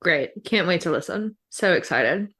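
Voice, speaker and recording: A woman's voice: lowish voice, lively intonation, measured pace, sharp tone. A woman co-hosting a podcast in casual reactive chat, blunt and full of opinions. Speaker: podcast co-host.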